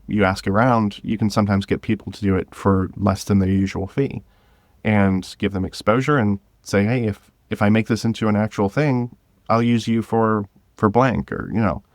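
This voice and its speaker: Low-voiced man, dry close sound. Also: steady tone, normal speed, no echo. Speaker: guest, independent audio drama creator. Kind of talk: casual interview conversation.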